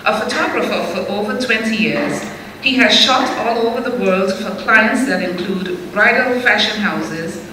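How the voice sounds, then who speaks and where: A woman's voice: medium pitch, sharp tone. A woman formally reading aloud an introduction of the next speaker. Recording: echoey hall, faint noise.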